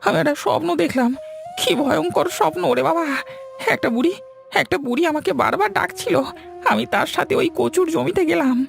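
High, creaky cartoon coward. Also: male voice, expressive, brisk, faint music behind, full character voice. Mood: shaken. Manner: whimpering, pleading, stammering. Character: timid, panicky husband, comic cartoon coward.

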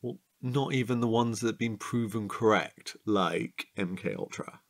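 An actor playing a chatty, childlike, curious man who is a bit slow-witted, in a playful comedic audio drama. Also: on the low side, lightly acted. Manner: playful, excitable, drawn-out delivery.

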